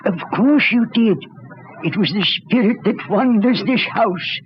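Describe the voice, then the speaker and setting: Taunting eerie storyteller, unhurried, muffled old radio recording, faint noise. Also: male voice, high-pitched. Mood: taunting, gloating. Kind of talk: 1940s radio horror acting.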